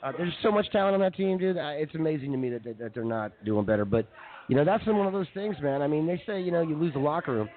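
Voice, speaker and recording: Casting: male sports co-host, quick and lively, informal slangy banter, tinny live-broadcast sound. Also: on the high side. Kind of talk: casual banter and sports opinion. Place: brewery, live remote broadcast.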